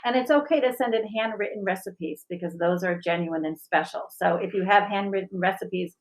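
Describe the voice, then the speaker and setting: A woman reading and chatting for a school audience in an expressive, measured storybook style. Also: mid-range voice, noisy background. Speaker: school staff member reading aloud. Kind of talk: children's storybook read-aloud and informal discussion.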